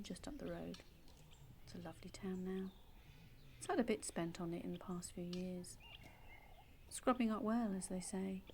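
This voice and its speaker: A woman acting as a solitary, wistful Englishwoman in an audio play monologue. She talks slowly and confidingly, musing to herself with pauses. There is steady outdoor noise with birdsong behind her. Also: mid-range voice, creaky. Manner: musing, reflective, with tag questions and pauses. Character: solitary, wistful adopted woman searching for her birth mother.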